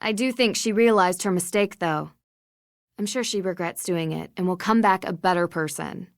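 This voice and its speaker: A woman playing a friendly, direct young amateur sleuth in a video game, polite, even and inquisitive. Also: on the low side, normal speed, no echo, studio quality, natural voice. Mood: earnest.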